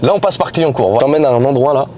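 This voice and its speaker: Fast-talking chatty young man host. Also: mid-range voice, warm tone, slight background noise. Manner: chatty, slangy, teasing. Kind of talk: casual chat. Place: inside a car in city traffic.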